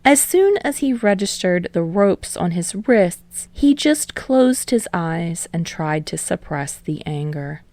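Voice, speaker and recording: Woman, audiobook narrator, slow pace, animated intonation, some vocal fry, dry close sound. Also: on the low side, no echo. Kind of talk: scripted fiction narration.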